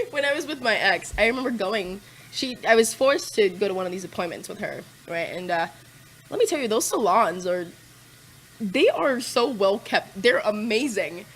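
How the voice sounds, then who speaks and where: Woman, podcast guest, casual chat, laid-back, profane, rambling, mid pitch. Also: lively intonation, moderate pace, breathy edge, low-quality recording. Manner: laid-back, profane storytelling with frequent fillers and laughs.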